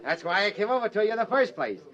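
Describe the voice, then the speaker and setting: A man's voice: sits high, very animated, quick pace, dull audio, heavy background noise. An American man playing a brash, jovial movie man in an old-time radio drama, breezy and slangy, repeating words for emphasis. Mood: boisterous, flattering. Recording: old radio recording, crowd behind.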